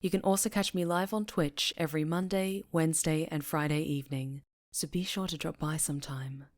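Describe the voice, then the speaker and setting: A woman's voice: low voice, fairly even intonation, fairly quick. A woman channel host reading a scripted outro and plug to listeners.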